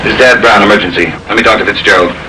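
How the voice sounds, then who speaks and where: Man acting police chief, American, clipped orders, fast pace, authoritative, old radio drama, noisy recording. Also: fairly low pitch, steady tone, lightly acted. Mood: urgent. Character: brisk, commanding police chief directing a bomb response.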